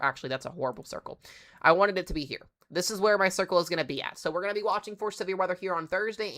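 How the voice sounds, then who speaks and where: A man hosting a casual live weather briefing, sounding congested from strep throat, chatty and excitable with plenty of fillers. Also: fairly high pitch. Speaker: weather livestream host.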